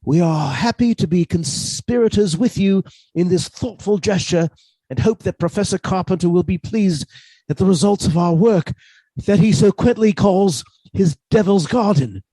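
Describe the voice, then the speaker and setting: A man's voice: high-pitched, moderate pace, dark tone, slightly rough, no echo, poor audio. A man playing a mannerly, henpecked professor who is secretly a scheming killer, with formal old-fashioned phrasing, in a radio suspense play. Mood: uneasy, mounting dread. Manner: formal, old-fashioned turns of phrase, hesitant when flustered.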